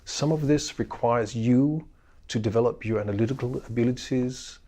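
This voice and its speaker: A middle-aged man from Denmark with a Danish accent, a professor giving a measured, unhurried course introduction lecture with frequent ums and uhs. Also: mid-range voice, warm tone.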